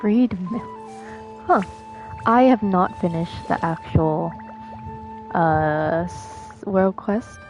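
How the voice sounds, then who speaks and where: A woman's voice: lowish voice, expressive, extremely slow, long pauses, a little mellow, low-quality recording. A woman streaming a game on a close microphone, giving casual live commentary. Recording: game music behind the voice.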